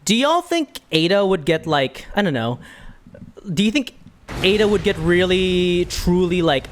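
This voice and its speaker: A woman's voice: fairly low pitch, lively intonation, a little slow, slight background noise. A woman giving casual, musing gameplay commentary to her livestream viewers, talking through her thoughts. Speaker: game streamer.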